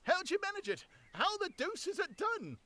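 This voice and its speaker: A man voicing an excitable rustic tramp who yelps his lines high and loud. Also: normal speed, exaggerated voice. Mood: marveling. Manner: high squawking yelps, exclamatory. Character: excitable rustic male tramp, gruff and bewildered.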